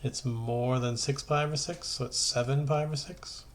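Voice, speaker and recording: A man's voice: mid-range voice, steady tone, moderate pace, slight background noise. A man giving an informal math lesson as a teacher, talking conversationally and thinking aloud as he works through steps. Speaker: high school math teacher.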